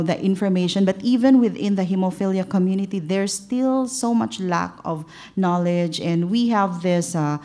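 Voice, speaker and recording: A woman's voice: lowish voice, warm tone. A woman on a conference panel sharing her experience as a patient advocate, hesitant and full of fillers, speaking into a table microphone in a large hall. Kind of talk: panel discussion.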